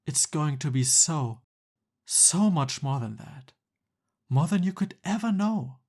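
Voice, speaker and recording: A man's voice: a little high, lively intonation, takes it slowly, darkish tone, full character voice. A man playing a jealous, exasperated young Cupid best friend in a conversational boyfriend roleplay ASMR. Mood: annoyed, agitated.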